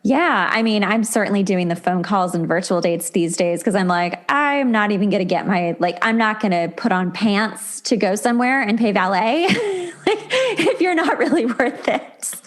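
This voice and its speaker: A woman's voice: mid-range voice, animated, moderate pace. An enthusiastic woman in middle age hosts a casual interview podcast. She speaks in an effusive, conversational way, with an ordinary mid-range voice. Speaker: podcast host.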